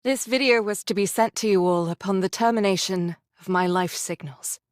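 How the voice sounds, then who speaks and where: An actress voicing a gentle, sorrowful young woman soldier in a video game, speaking tenderly and earnestly. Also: medium pitch, moderate pace, dry recording, clean studio sound, lightly acted. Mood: solemn, tender. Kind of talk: dramatic game voice acting.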